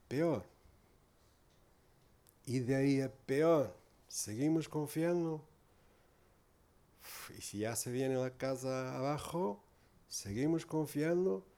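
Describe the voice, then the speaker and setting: A man's voice: mid-range voice, warm tone. A man from Portugal preaching in Spanish with a Portuguese accent, giving an earnest, informal sermon full of tag questions.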